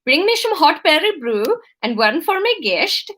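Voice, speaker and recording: Woman putting on a stern, high-voiced interrogator with attempted Dutch accent. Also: sing-song, moderate pace, fairly bright tone. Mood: commanding, probing. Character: stern suspicious female interrogator, commanding and probing.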